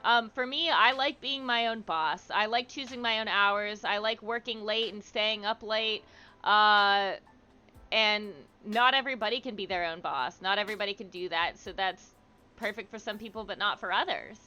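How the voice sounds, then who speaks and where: A woman's voice: mid-range voice, moderate pace, pushed and bright, dull audio. A woman hosting a livestream, chatting casually and encouragingly with viewers and reading their comments aloud. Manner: encouraging, supportive. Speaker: livestream host.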